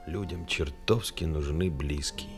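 An actor in a radio play, playing a grieving, thoughtful widowed war correspondent with a sombre manner. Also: male voice, very deep voice, a little slow. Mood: grieving, reflective. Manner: reflective, sombre. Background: music.

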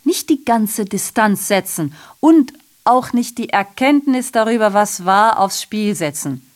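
An actress playing an ironic, rambling messenger in a radio drama monologue, sardonic, declamatory and interrupting herself. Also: female voice, medium pitch, lively intonation, measured pace, close to an everyday voice. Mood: lecturing, ironic. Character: ironic, rambling female messenger addressing the audience.

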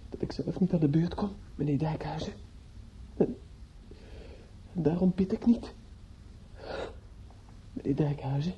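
An actor in a radio play playing a distraught, bitter young man in grief, speaking haltingly with stammers and repetitions. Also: fairly high pitch, dark tone, slight background noise, lightly acted. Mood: bitter despair. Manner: halting, stammering, repeats himself. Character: distraught, bitter young bridegroom-to-be, grieving and obsessive. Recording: dull lo-fi radio play recording.

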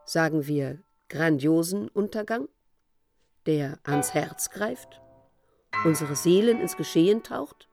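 An actress playing a disillusioned storyteller of the apocalypse in a radio drama, measured and sombre. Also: female voice, fairly low pitch, darkish tone, lightly acted. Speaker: narrator. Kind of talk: literary radio drama monologue.

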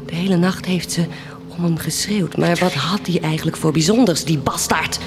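A woman's voice: fairly low pitch, normal speed, background noise, close to an everyday voice. An actress playing a fierce, compassionate village woman with a solemn, declamatory intensity, in a radio drama. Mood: bitter. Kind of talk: stage-like radio drama acting.

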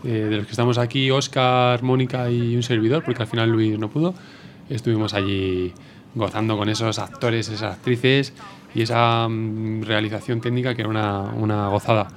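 A man's voice: on the low side, steady tone, moderate pace, faint music behind. A man with a Spanish accent from Spain co-hosting a casual live radio chat. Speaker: radio show co-host.

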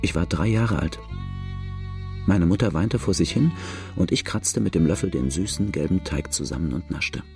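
Deep, gravelly outlaw storyteller. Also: male voice, moderate pace, a little mellow, faint music behind. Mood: grave, reflective. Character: grave outlaw recounting his hard life. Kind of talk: first-person radio drama narration.

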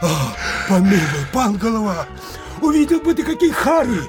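An actor playing an excitable, frightened, superstitious village watchman in a theatrical radio play, yelping and pleading. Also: high-pitched, moderate pace, over music.

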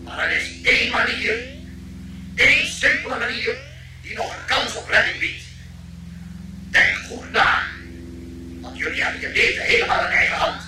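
An actor playing a pompous, menacing alien ship captain in a radio drama, declaiming slowly and theatrically through an echoing effect. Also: male voice, extremely high pitch, sing-song, very noisy, exaggerated voice. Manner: slow, theatrical, shouted declaiming. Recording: echoey, distant voice under loud music.

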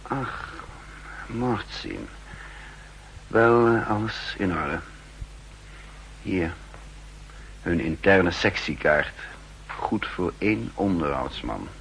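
Cool calculating spy boss, low voice that swings a lot, old radio drama. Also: male voice, animated, very slow, gravelly, pauses often, a bit bright, heavily acted, poor audio. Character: shrewd, calm male spy posing as counterintelligence chief. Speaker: lead character and narrator. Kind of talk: 1960s Dutch radio play acting. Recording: hissy, humming 1960s radio recording.

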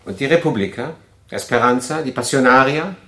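A man acting a guarded, quietly jealous refugee who recounts his exile in a German radio drama. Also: mid-range voice, expressive, fairly quick. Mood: wary, questioning.

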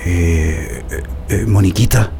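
A man's voice: low voice, slow pace, creaky, over music, exaggerated voice. A man with a Chilean accent playing a respectful, hesitant funeral attendant in a radio drama.

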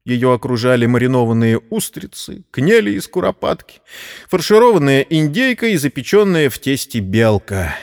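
A man's voice: medium pitch, very animated, normal speed, in a large hall. A man narrating a scripted story in a dramatic audio-play style.

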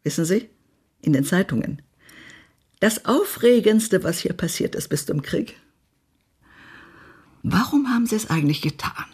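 A woman's voice: on the low side, animated, unhurried, pauses often, a little mellow, breathy edge, heavily acted. An actress playing a tiny, very old lady of the house in a radio drama, imperious, blunt and gleefully prying.

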